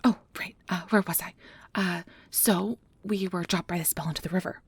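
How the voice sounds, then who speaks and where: A woman playing a nervous, caring young magic researcher in an ASMR audio drama, rambling in a flustered, halting, apologetic way. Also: fairly low pitch, steady tone, husky, no echo. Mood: explaining, flustered.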